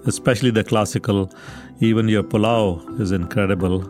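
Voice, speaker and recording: A father speaking earnestly and approvingly about his son. His voice is somewhat low, with soft guitar music in the background. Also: fairly even intonation, fairly quick. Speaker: father of the reporter. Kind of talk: interview answer. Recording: faint guitar music behind.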